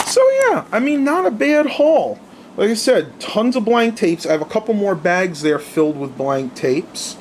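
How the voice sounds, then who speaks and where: A man's voice: high voice, sing-song, a little slow, slight background noise. A man chatting casually and unscripted to viewers, thinking aloud in colloquial language as he shows things at home. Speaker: vlogger showing a haul of vintage cassette tapes. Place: indoors at home.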